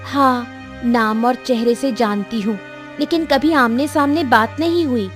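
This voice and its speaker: Woman acting haughty high-voiced village girl, jealous. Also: unhurried, close to an everyday voice. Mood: curious, incredulous. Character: proud, jealous, scheming village rich man's daughter. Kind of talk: cartoon moral tale acting. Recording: music behind the voice.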